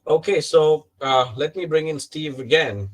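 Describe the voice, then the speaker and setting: A man moderating a panel, asking measured questions with many fillers. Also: fairly high pitch.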